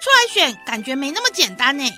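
A woman's voice: high voice, big pitch swings, fast talker, over music. A woman voicing a small, easygoing pond frog in a radio drama, cutesy and gossipy. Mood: curious, easygoing. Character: small, easygoing narrow-mouthed pond frog, idle onlooker.

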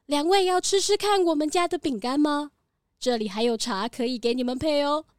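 A woman's voice: high-pitched, fairly quick, dry recording, clean studio sound, close to an everyday voice. A woman voicing a friendly female goat who owns a cookie factory and bleats now and then, in a children's radio drama. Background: background music.

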